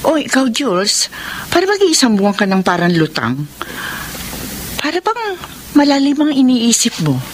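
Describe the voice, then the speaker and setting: An actress playing a loving, trusting but worried wife in a radio drama, affectionate and expressive, with a Filipino accent. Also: female voice, on the high side, normal speed, pauses often, slight background noise. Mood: affectionate, concerned. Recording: music under radio drama voice.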